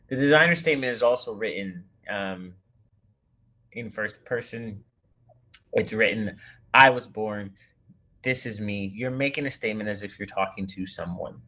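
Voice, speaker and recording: A man teaching a design class in a recorded lecture, explaining an assignment in a relaxed way and reading an example aloud, with small restarts. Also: fairly low pitch. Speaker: instructor.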